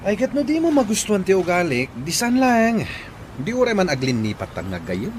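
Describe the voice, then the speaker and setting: A man's voice: sits high, wildly swooping pitch, moderate pace, slight background noise. A man playing a boastful, arrogant rich company boss in an Ilocano audio drama, haughty and taunting. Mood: scornful, gloating.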